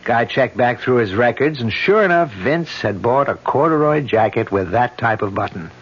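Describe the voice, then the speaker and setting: An actor playing a dogged, plain-spoken police detective, speaking in matter-of-fact clipped phrases in an old-time radio mystery. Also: male voice, mid-range voice, expressive, normal speed, slight background noise, close to an everyday voice. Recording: dull old radio broadcast.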